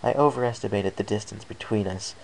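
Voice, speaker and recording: A man with a low, creaky voice reading a scripted first-person adventure story as narrator. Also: brisk, low-quality recording. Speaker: amateur story reader. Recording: faint background hiss.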